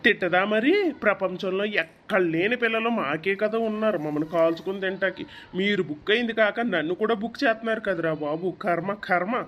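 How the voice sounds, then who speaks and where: A man's voice: high voice, quick pace, highs cut off, slight background noise, lightly acted. A man voicing a harried, grumbling yet playful father in a comedy cartoon, with a Telugu accent and a teasing, complaining manner.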